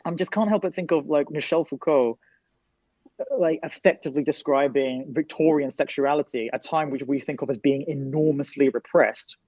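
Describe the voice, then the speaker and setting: A man's voice: a little high, normal speed, darkish tone, no room sound. Articulate man with a dry, muffled sound. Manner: articulate, wry, lecturing. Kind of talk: discussion panel.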